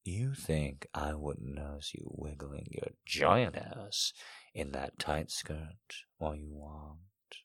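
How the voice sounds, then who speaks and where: A man voicing a dominant, teasing male lover in an erotic audio story, low and hushed, coaxing and commanding. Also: takes it slowly, no echo, clean studio sound, full character voice.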